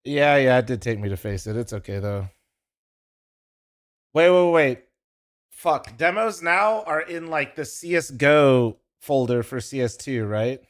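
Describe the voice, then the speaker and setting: A young man talks to his stream chat with a profane, teasing ramble, and his voice sits at an ordinary pitch. He's casual and loose, stopping and restarting as he fiddles with his setup. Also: measured pace. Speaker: streamer co-streaming an esports match.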